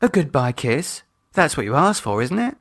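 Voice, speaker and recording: A man's voice: a little high, very animated, moderate pace, a bit bright, no echo, studio quality, voice pushed up, exaggerated voice. A man voicing a sulky fifteen-year-old British schoolgirl in an audiobook, clipped and insolent. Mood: sulky, curt.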